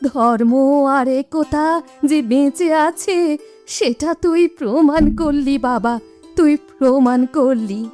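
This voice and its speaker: Woman acting a slow, high-voiced grateful old villager. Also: a little mellow, soft background music. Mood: grateful, moved. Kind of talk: cartoon acting.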